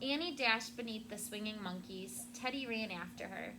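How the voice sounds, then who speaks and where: A woman teacher reading a story expressively to children and pausing to explain words simply, with noise behind her. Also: medium pitch. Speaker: elementary school teacher. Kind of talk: read-aloud storytelling to children, with questions and vocabulary asides. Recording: loud background noise.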